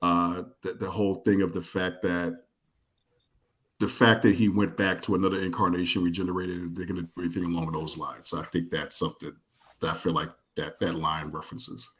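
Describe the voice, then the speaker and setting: A man's voice: sits low, level tone, brisk, fairly bright tone. A man on a casual chat panel, thinking aloud with hedges and restarts. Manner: thoughtful, hedging. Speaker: podcast panelist.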